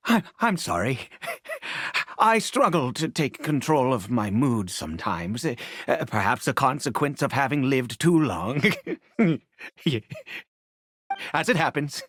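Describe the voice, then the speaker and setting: A man voicing a weary, centuries-old cursed nobleman and father in a video game, speaking formally and haltingly, with grief. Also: mid-range voice, big pitch swings, a bit bright, husky, full character voice. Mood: apologetic, weary. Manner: halting, hesitant, sighing, formal wording. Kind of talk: dramatic video game voice acting. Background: background music.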